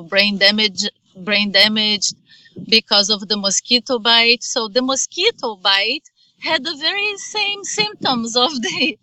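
Middle-aged woman, Brazilian Portuguese accent, earnest testimony, bright tense tone, dry close sound. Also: fairly high pitch, no echo, low-quality recording. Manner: halting, earnest, with frequent restarts. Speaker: mother giving testimony. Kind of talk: personal testimony.

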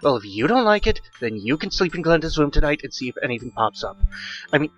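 A man's voice: fairly high pitch, very animated, fast, highs cut off, faint music behind, voice pushed up. A man voicing a worried, protective mother who can snap at her husband, in an audiobook horror story. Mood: angry. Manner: scolding yet soothing.

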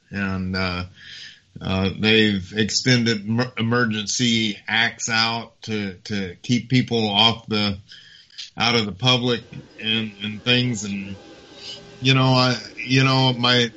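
A middle-aged man talks as a guest on the show, pausing and restarting with plenty of fillers. His voice sits fairly low but has a bright, forceful edge. The audio is dull and lo-fi. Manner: halting, many fillers and repeats. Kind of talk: casual talk show conversation.

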